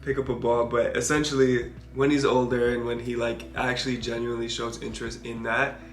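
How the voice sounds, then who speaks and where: A young man with a mid-pitched voice talks casually, padding his informal phrases with "like". The space is audibly roomy, with faint music underneath. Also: level tone, brisk. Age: young adult. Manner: informal, peppered with "like". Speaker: parenting vlogger. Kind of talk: casual chat with partner.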